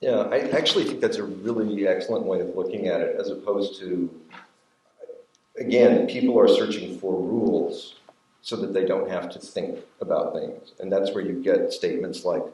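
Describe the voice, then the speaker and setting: Low-voiced man in a very echoey room. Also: normal speed, darkish tone. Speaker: screenwriter, conversation partner. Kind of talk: conversational discussion of writing. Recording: very echoey room, distant microphone.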